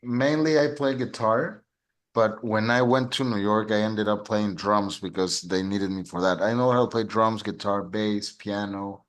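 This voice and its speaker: A man's voice: fairly low pitch, fairly even intonation, normal speed. Enthusiastic man saying yeah a lot. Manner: enthusiastic, repeats yeah, fillers. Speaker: musician, host. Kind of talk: casual video call chat.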